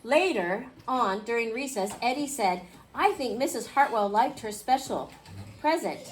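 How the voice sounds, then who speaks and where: A woman reading a picture book aloud to a class, expressively, pausing to question her young listeners. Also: fairly high pitch, expressive. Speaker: children's storybook reader. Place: indoor school classroom. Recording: clearly noisy classroom recording.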